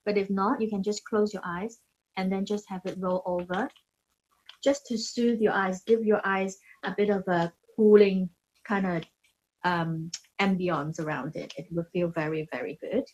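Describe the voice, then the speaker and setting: A woman's voice: medium pitch, steady tone, normal speed, a little mellow, low-quality recording. Female facialist-founder from Hong Kong, mid-range voice, informal how-to delivery, searching for her words and repeating phrases. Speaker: beauty brand founder and facialist giving a demo. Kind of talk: casual product demonstration and explanation.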